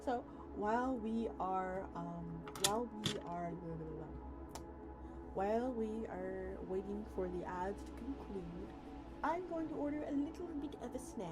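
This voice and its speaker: A woman's voice: mid-range voice, a little slow, loud music behind the voice, poor audio. A woman hosting a live stream, chatting casually with her viewers. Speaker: VTuber streamer, host. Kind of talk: live stream reading a story aloud, casual chat with viewers.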